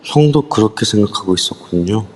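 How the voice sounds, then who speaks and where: A man's voice: lowish voice, animated, fairly quick, darkish tone, big-room sound. A man playing a younger male companion, talking casually. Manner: casual, reporting. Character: younger man who calls the leader big brother. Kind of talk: amateur audio drama acting.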